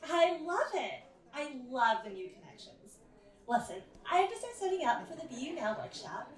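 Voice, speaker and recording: A woman's voice: sits high, big pitch swings, moderate pace, distant mic. A woman playing an effusive, upbeat workshop leader in an audio drama, welcoming people with gushing friendliness. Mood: delighted. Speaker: workshop facilitator. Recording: roomy, echoey, away from mic.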